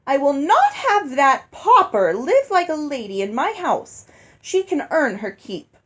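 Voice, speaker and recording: A woman's voice: high-pitched, sing-song, a little slow, voice pushed up. A woman voicing a vain, greedy older stepmother in a storybook, pouty and overwrought. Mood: greedy, indignant.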